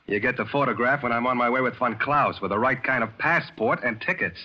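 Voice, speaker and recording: A man's voice: mid-range voice, brisk, close to an everyday voice. An actor playing a cool, sardonic American tough guy in a 1940s radio thriller, talking in terse slang. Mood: cool, brisk. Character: hard-boiled American man bluffing villains, cool and sardonic. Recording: muffled old-time radio recording.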